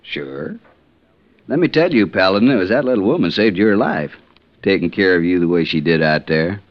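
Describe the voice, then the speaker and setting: A man's voice: low voice, moderate pace. An actor playing a good-natured, folksy frontier lawman with drawling phrasing in a 1950s radio western. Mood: soothing, admiring. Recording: dull vintage 1950s radio recording.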